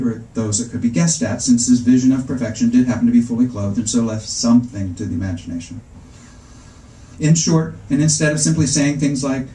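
A middle-aged man reads comic prose aloud at a public event. His voice is mellow and of medium pitch, and his delivery is wry and measured, with an arch turn on ornate phrases. The room around him is noticeably echoey. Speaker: author reading at a book event. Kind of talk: live literary reading of first-person comic prose. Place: indoor bookstore reading before a seated audience. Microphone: stand microphone, recorded from the audience seats.

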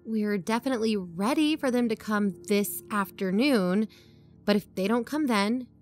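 A woman's voice: mid-range voice, normal speed, faint music behind, close to an everyday voice. A woman acting as a practical, blunt podcast co-host in a conversational audio drama. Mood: impatient.

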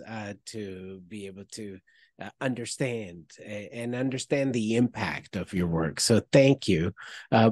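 A middle-aged man hosting an interview, upbeat and grateful, pausing on fillers as he speaks into a studio microphone. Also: mid-range voice, no echo. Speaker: host. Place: studio with branded backdrop. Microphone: headset with desk mic.

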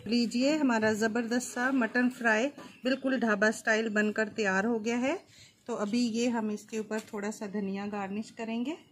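A woman hosting a cooking channel, calmly explaining each step as she cooks at an outdoor stove. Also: a little high, moderate pace, slight background noise.

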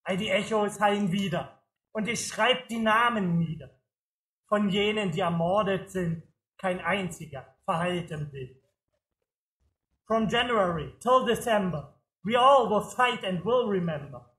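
A man reciting memorial poetry in a solemn, declamatory spoken-word style, with measured, rhythmic phrasing. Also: high voice, fairly bright tone, reverberant, low-quality recording. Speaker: poet reciting at a political memorial.

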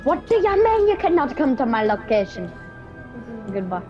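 A woman's voice: high voice, sing-song, moderate pace. Woman acting a frightened homeowner, muffled voice chat. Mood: indignant. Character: frightened homeowner reporting an intruder, game skit. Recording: muffled voice chat under loud game music.

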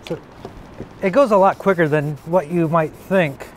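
Workshop host, forties, man; slightly high voice, laid-back, thinks out loud. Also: measured pace, a little hiss or hum, low-quality recording. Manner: relaxed, thinking aloud while working. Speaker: woodworking channel host. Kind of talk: casual workshop how-to narration. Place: indoor home workshop.

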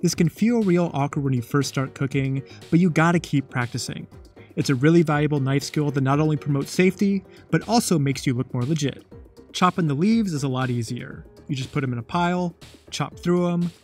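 A man's voice: fairly high pitch, brisk, soft background music. A man narrating a cooking lesson in a scripted voice-over with a playful, jokey tone. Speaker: cooking show host.

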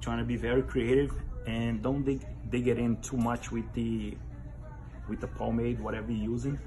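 A man in his thirties giving hands-on barber instruction to camera in simple, halting phrases with non-standard grammar, recorded indoors a few steps from the camera. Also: medium pitch, little pitch movement, mellow, poor audio. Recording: music laid over the voice. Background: music.

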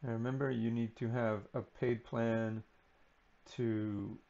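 Slow, gravelly man walking through software. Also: mid-range voice, lo-fi sound. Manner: relaxed, unhurried, many ums and uhs. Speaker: software tutorial presenter. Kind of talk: unscripted screen-recording walkthrough.

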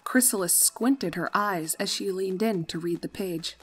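A woman's voice: medium pitch, unhurried, slightly rough. A woman reading scripted storybook-style narration as the narrator of a fan fiction tale.

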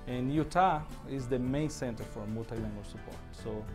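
A man's voice: mid-range voice, normal speed, music in the background. A man from Brazil with a Brazilian Portuguese accent and Portuguese as his first language, talking about his support engineer job. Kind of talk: interview testimonial.

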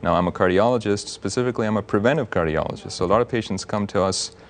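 Low-voiced man explaining calmly. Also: a little hiss or hum. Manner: measured, explanatory. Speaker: cardiologist guest. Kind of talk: TV studio interview. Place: TV news studio.

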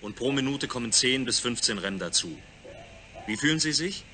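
Composed ground controller amid noise. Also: male voice, medium pitch, animated, normal speed, pushed and bright. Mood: matter-of-fact. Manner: matter-of-fact, instructing. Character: composed, exacting male ground controller guiding an astronaut. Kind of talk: radio play dialogue.

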